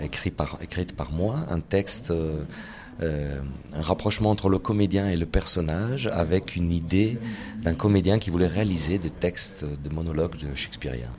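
A man with an Italian accent, an actor interviewed on radio, speaking thoughtfully with pauses. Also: sits low. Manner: thoughtful, pausing mid-sentence. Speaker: actor and playwright, guest.

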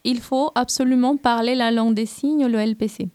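Woman radio host, kinda high voice, dry close sound, asks guests lots of gentle questions. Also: fairly even intonation, fairly quick, no echo. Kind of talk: hosting a radio discussion.

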